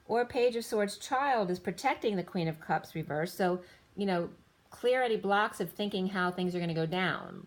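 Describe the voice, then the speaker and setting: A woman giving a rambling tarot reading, listing cards in loose fragments, her voice going a little hoarse. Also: medium pitch. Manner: rambling, lists card after card in loose fragments. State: slightly losing her voice. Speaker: tarot reader.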